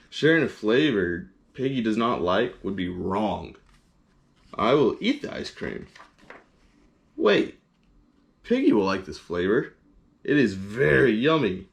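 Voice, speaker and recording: A man voicing an excitable, childlike elephant from a picture book, exclaiming and agonizing out loud. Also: on the low side, slow, gravelly, pauses often, heavily acted. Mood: torn, deliberating. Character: excitable, childlike elephant torn over sharing his ice cream.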